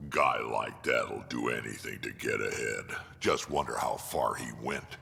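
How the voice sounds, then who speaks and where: An actor playing a gruff, weathered old alien mercenary in a video game, blunt and world-weary, with a gravelly voice. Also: male voice, a bass voice, steady tone, a little slow, pushed and bright, full character voice. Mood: suspicious. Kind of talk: video game dialogue acting. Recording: reverberant hall, clearly noisy background.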